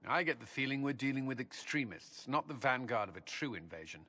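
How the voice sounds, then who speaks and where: A man's voice: medium pitch, expressive, fairly quick, sharp tone. A man playing a grave, wary military commander in a fantasy game, speaking seriously. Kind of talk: dramatic fantasy game dialogue.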